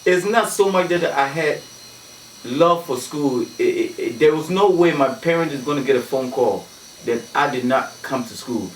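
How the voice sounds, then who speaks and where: A man's voice: medium pitch, expressive, moderate pace. A man from Ghana, a guest in a radio studio, telling stories and using many "you know" fillers. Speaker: guest, community activist. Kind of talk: radio interview storytelling. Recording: roomy, distant mic with faint noise.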